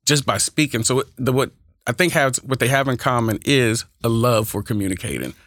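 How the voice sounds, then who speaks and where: A man's voice: medium pitch, brisk, fairly bright tone, no echo. Man, Oakland accent, mild stutter and lisp, earnest coach, dry close sound. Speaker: speech and debate coach. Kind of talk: conversational interview. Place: small room.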